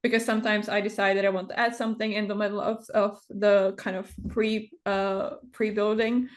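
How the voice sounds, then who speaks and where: A woman's voice: mid-range voice, flat delivery. Interview guest: non-native woman, twenties, hesitant delivery with frequent fillers, video call. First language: not English. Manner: hesitant, many pauses and fillers. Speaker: interview guest, game builder. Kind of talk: casual conversation.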